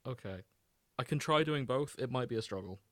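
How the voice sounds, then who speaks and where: Animated man chatting on a podcast. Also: mid-range voice, fairly quick, dry recording. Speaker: podcast co-host and reader. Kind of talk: casual joking chat.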